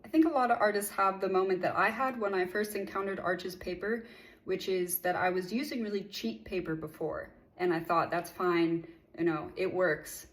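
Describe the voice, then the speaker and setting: A young American woman from California talks to the camera in a relaxed, friendly way, now and then reaching for an "um." Her voice sits a little low for a woman, and she sounds like an artist sharing her tools in a casual promotional clip. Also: normal speed. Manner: friendly, conversational, with occasional fillers. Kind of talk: casual sponsored testimonial.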